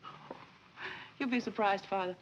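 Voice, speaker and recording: A gentle nun, played by a woman, speaks softly and earnestly, with quiet persistence. Her voice is mid-pitched and plain. The sound is a dull, muffled old film soundtrack with a faint hiss. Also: moderate pace, lightly acted. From Sweden. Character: gentle, faithful nun, young adult, quietly determined. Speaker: nun and school head.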